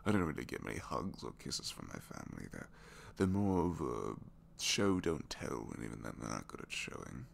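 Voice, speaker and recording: A captured supervillain played by a man confides in a low voice that swings expressively up and down. He is bashful, self-deprecating and smitten, halting and dropping into whispers as in an intimate ASMR roleplay. A faint hum sits behind him. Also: sing-song, vocal fry, exaggerated voice. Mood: shy, wistful. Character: captured young male supervillain, reluctantly evil, bashful and lovestruck. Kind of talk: ASMR romantic audio roleplay.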